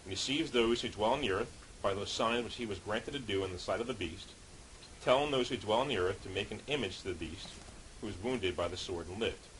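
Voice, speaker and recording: A man's voice: on the low side, fairly quick, a bit bright, rough recording. A man preaching as a street preacher, reading Bible passages and explaining them in an informal teaching way. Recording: hissy background noise.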